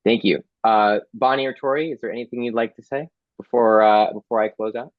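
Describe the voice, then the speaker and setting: A man's voice: fairly low pitch, fairly even intonation, fairly quick, no echo, narrow, tinny audio. A man moderating a panel, thanking speakers with many hesitations and restarts.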